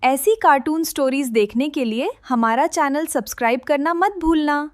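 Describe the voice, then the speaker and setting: A woman reads a scripted promo as a channel announcer. Her voice is very high, set over clearly audible music. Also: normal speed.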